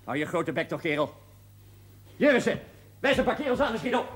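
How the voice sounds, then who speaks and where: A man's voice: high voice, big pitch swings, brisk, a bit bright. An actor playing a stern, resolute mine boss in a television drama, brusque and authoritative as he gives orders. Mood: stern resolve. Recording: noisy old TV soundtrack.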